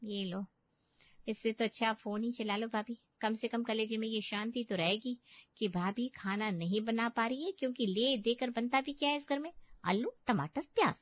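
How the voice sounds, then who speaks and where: A woman voicing a blunt, mocking young sister-in-law in an animated family story. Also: a little high, normal speed, highs cut off, natural voice. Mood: exasperated.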